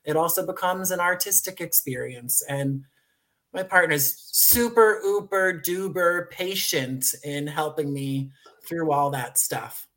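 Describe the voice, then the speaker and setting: An American man talks as a guest in a conversational interview. His voice sits fairly high for a man, and his phrasing is effusive, grateful and playful. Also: unhurried, fairly bright tone, low-quality recording. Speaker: guest, audio drama writer and producer.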